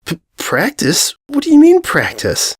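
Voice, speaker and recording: A man's voice: sits high, wildly swooping pitch, measured pace, breathy edge, voice pushed up, heavily acted. A man voicing a jealous, easily flustered young female pony who stammers and turns sarcastic in a story. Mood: hurt, stammering.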